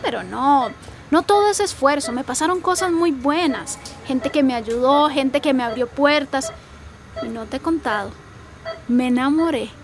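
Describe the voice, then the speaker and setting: A woman's voice: high voice, moderate pace, a crowd in the background. An actress playing a candid, resilient migrant woman confiding in her sister in a radio soap, with sighing delivery. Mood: brightening. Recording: clear background noise.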